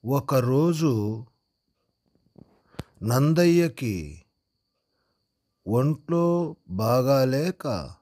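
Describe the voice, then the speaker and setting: A man narrates a moral tale at a very slow, deliberate pace, pausing between short phrases. His pitch moves in lively swings, and the voice has a dark, slightly husky tone. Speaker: narrator of a handwriting and moral story channel. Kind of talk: slow read-aloud moral storytelling, dictation-like.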